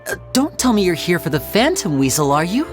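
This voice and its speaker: A man voicing a smooth, playful young stage magician in a video game, theatrical and teasing, over game music. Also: sits high, big pitch swings, brisk.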